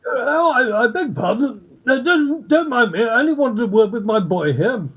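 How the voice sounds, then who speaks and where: Man as high, husky father. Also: expressive, moderate pace, fairly bright tone, lo-fi sound, full character voice. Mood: apologetic. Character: apologetic older Victorian gentleman, a genial father. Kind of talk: stage farce acting for radio play.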